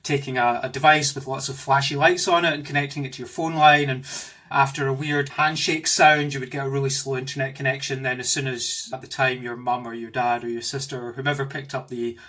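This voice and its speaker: A man's voice: medium pitch, brisk. A man in his forties with a Glaswegian accent, an enthusiastic guest telling stories about his career in a podcast interview from his home office. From Scotland. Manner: animated, enthusiastic storyteller. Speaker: guest, tech executive.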